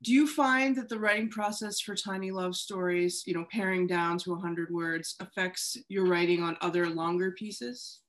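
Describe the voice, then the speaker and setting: A woman's voice: fairly low pitch, steady tone, normal speed, few pauses. A woman moderating a question-and-answer session in an informal, slightly tentative way. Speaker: panel moderator. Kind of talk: casual event moderation.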